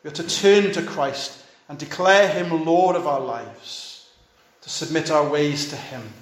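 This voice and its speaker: A man's voice: a little high, a little slow, reverberant. A fifty-year-old male pastor preaching a sermon, urging his listeners on in short, repeated, earnest phrases.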